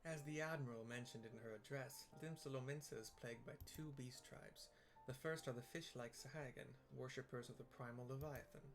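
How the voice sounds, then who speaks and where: A man's voice: mid-range voice, a little fast, few pauses. A man narrating a game playthrough, reading story dialogue aloud and describing the scenes. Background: game music.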